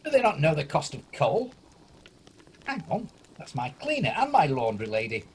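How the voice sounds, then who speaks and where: A man's voice: on the high side, huge pitch swings, moderate pace. A man playing an irascible, fearful older miser in an amateur radio play, blustering and indignant. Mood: bewildered, fearful. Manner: indignant, blustering, stammers when agitated. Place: at home, recorded over video call. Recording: faint noise, home video-call recording.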